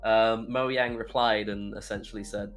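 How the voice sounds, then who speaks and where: A man's voice: on the low side, fairly even intonation, moderate pace, fairly bright tone, faint music behind. A young British man telling stories casually as a podcast guest, chatty and rambling as he goes. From United Kingdom. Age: young adult. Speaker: podcast guest, YouTuber and streamer.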